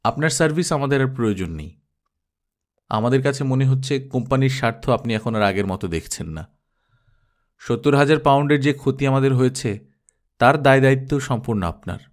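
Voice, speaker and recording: A man's voice: medium pitch, lively intonation, natural voice. A man reading as a coolly authoritative young woman boss. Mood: cool, firm. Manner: matter-of-fact, unhurried, decisive. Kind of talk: audiobook character dialogue.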